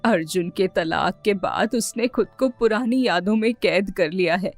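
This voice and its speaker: Woman as a gentle, lower-voiced worried mother. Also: measured pace, faint music behind, lightly acted. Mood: sorrowful. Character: gentle, concerned middle-aged mother of a grown son. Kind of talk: animated moral story dialogue.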